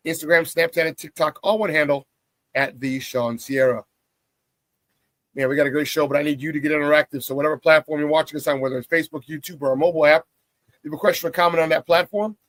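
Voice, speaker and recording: A hoarse, raspy man hosting a morning sports talk show, riffing playfully in a casual, hype-man style. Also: fairly high pitch, fairly bright tone, no room sound. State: hoarse from a cold.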